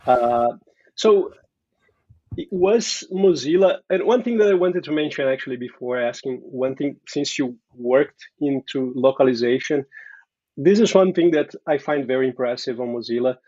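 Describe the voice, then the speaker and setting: A man's voice: medium pitch, moderate pace, a little mellow, dry recording. A man from Brazil with a Brazilian Portuguese accent, hosting an interview in a friendly, encouraging manner. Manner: friendly, encouraging, many back-channel murmurs. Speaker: host. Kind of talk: casual interview chat. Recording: dull dry lo-fi mic.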